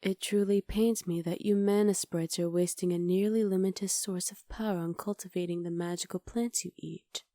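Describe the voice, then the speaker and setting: A woman playing a haughty, menacing witch conqueror in an ASMR roleplay, speaking in soft, measured, formal sentences with a hushed, breathy delivery. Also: lowish voice, fairly even intonation, no echo, clean studio sound, natural voice. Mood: intrigued. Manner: imperious, measured, formal diction.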